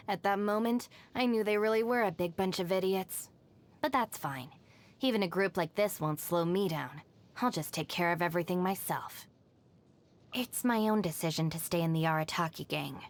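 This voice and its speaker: A woman's voice: fairly low pitch, close to an everyday voice. An actress playing a level-headed, self-reliant young woman in a video game, speaking in a composed, dry, matter-of-fact way. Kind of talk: scripted video game character voice lines. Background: background music.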